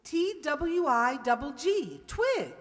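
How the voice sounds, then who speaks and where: A woman acts as an excitable, sentimental wife in an old radio mystery. Her voice is high and swoops widely, theatrical and gushing, in an echoing hall. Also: takes it slowly, full character voice. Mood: earnest. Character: sentimental, excitable young wife turned amateur sleuth. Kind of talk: 1940s radio acting. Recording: echoey hall.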